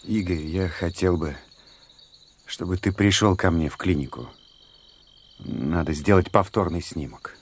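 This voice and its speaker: An actor in a Russian radio drama playing a calm, measured doctor who talks in a low-key, reassuring way. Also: male voice. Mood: grave, careful. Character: calm, measured male doctor, an old friend.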